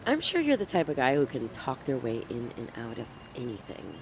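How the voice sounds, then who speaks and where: A troubled young woman with a lively voice. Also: extremely low pitch, brisk, background noise, full character voice. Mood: guarded. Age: young adult. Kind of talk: noir audio drama acting.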